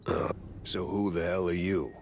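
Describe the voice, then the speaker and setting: An actor playing a wry, weary mouse private detective with a sardonic deadpan, in a noir cartoon game. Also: male voice, deep voice, moderate pace, creaky, a little hiss or hum. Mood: irritated, suspicious. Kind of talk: 1930s film noir cartoon game acting.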